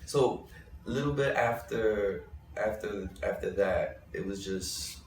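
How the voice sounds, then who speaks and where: A young man with a very low voice talks through his story in a loose, rambling way, often restarting mid-thought. He sounds set back from the mic in an echoey room. A faint noise sits under him. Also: slow pace, distant mic. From Bushwick, Brooklyn, New York. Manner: rambling, restarts, thinking aloud. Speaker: rapper and interview guest. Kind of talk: casual interview talk.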